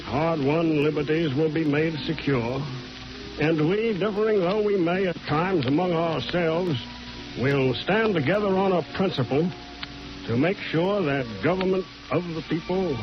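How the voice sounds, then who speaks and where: A man giving a measured, solemn 1940s radio oration in a rhetorical manner, on a dull old recording. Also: a little high. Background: music.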